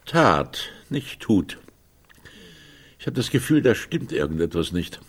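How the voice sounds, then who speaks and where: Brusque sceptical man, lively low delivery. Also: slow, husky, exaggerated voice. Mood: dry, impatient. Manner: dry, pedantic, correcting. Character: brusque, sceptical man fretting over a decaying family house. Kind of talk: naturalistic German radio drama acting.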